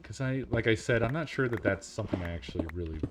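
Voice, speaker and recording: A man with a deep, monotone voice, talking in an unhurried, casual way while he streams a game. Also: background noise.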